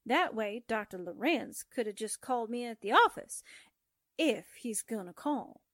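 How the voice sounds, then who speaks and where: A woman's voice: fairly high pitch, lively intonation, a little slow, a bit bright, no echo, studio quality. A woman playing a dreamy, gullible young secretary in an old-time radio drama, with a gushing, wistful and naive manner. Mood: wistful, chatty.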